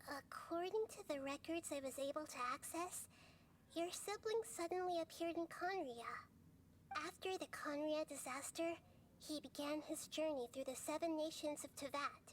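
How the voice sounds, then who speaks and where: A woman voicing a small, childlike female god for a video game, wise, composed and enigmatic, speaking in articulate, gently formal phrases. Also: sits high, moderate pace, a little hiss or hum, lightly acted. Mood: serious, mysterious. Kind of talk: video game voice acting, measured explanatory dialogue.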